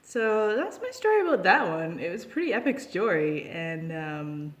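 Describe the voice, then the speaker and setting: Low-pitched young woman telling a casual story. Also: very animated, normal speed. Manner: chatty, giggly, acts out quoted dialogue. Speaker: storytelling vlogger. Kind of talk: casual, unscripted personal storytelling.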